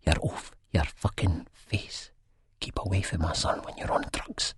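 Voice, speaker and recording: A man's voice: sits low, steady tone, unhurried, slightly rough, whispered, full character voice. A man voicing a cold, fed-up young mother with an Edinburgh accent, in audiobook dialogue. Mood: hissed, threatening. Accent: Edinburgh Scottish. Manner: curt, cold.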